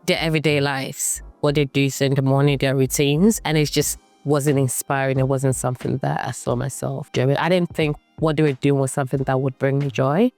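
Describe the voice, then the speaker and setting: A woman in her thirties from Ghana, a guest in a casual interview, talking in a reflective way with sudden jumps in pitch. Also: steady tone, normal speed, few pauses, a little mellow, soft background music.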